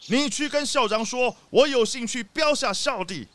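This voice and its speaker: High-pitched haughty rich leopard boss. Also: male voice, normal speed, background noise. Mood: gloating. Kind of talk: children's audio drama acting.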